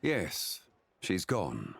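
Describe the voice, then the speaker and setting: A grave, philosophical sage from a video game. His voice is very low and slow, yet it rises and falls expressively. His delivery is formal and calm. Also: vocal fry, slightly rough, no echo, exaggerated voice. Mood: solemn. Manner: formal, solemn, measured. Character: grave, ancient, philosophical man, calm and wise. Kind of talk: video game drama acting.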